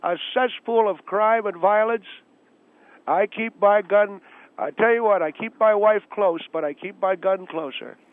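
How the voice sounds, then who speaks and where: Man putting on a high-pitched, belligerent old veteran. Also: unhurried, dry recording. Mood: belligerent, boastful. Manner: indignant, interrupting, self-important. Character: belligerent, boastful 68-year-old male Korean War veteran. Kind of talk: comedy radio call-in character. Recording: tinny phone line on radio.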